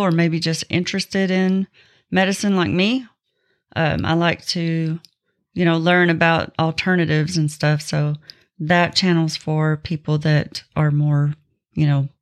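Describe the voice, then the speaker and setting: A woman co-hosting a podcast, chatting informally as she shares announcements with listeners. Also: lowish voice. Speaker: podcast co-host. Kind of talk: casual podcast chat with announcements.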